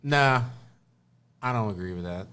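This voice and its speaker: A middle-aged man in a casual group discussion, blunt and quick to disagree. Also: fairly low pitch, expressive, pushed and bright, slight background noise.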